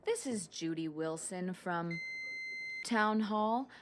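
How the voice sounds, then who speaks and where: A woman's voice: on the low side, expressive, slow pace, a little hiss or hum. A woman playing a kindly, helpful town hall clerk in a radio play, friendly, hesitant and reassuring. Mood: chatty.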